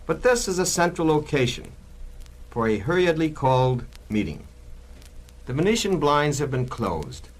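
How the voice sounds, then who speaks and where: A man's voice: a little high, very animated, normal speed. A man reading a grave, scripted 1960s dramatic narration in an expository manner.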